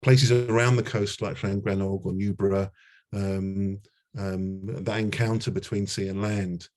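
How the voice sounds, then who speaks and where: A man's voice: fairly low pitch, flat delivery, measured pace, darkish tone. A man presenting his art at a gallery talk, hesitant and full of fillers and restarts. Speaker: artist presenting his work. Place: gallery talk before a live audience.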